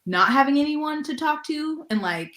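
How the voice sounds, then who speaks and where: A woman's voice: sits high, animated, moderate pace, fairly bright tone, echoey room. A young adult woman chatting casually as co-host, rambling with lots of "like" and laughing often. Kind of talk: casual chat.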